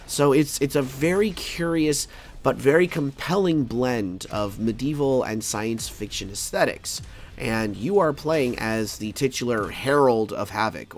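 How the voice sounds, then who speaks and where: Male game reviewer, casual and rambling, a bit rough from a cold, average pitch. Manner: rambling, stammering, laughs easily. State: fighting a cold.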